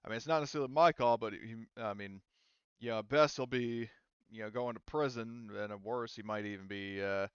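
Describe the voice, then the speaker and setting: Man playing a low-voiced, hesitant prison officer. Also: brisk, a bit bright, smooth, no echo, highs cut off, natural voice. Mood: hesitant, businesslike. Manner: stammering, official, noncommittal. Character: male prison officer, hesitant, formal, bureaucratic.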